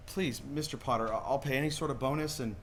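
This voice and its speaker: A man's voice: medium pitch, fast, fairly bright tone, a little hiss or hum, close to an everyday voice. An actor playing a desperate, humble young family man in a radio play, pleading and stammering. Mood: anxious, pleading. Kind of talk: 1940s radio play acting.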